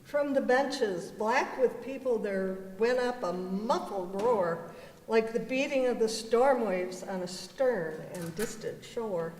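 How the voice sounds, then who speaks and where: A woman's voice: fairly high pitch, takes it slowly, darkish tone. A woman reciting narrative verse dramatically as a readers theater performer. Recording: echoey hall with faint noise.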